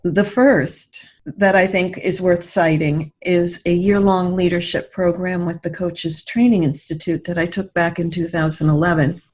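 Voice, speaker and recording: Female podcast guest, lower pitch, reflective and conversational, slightly lo-fi recording. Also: fairly even intonation, moderate pace, few pauses. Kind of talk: conversational interview answers.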